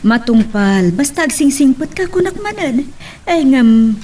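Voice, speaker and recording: A woman playing an advising older auntie in an audio drama, with an Ilocano accent and an expressive delivery. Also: sits high, a little slow. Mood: encouraging. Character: older auntie, a seasoned, advising overseas worker. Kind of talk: Ilocano radio-style drama acting.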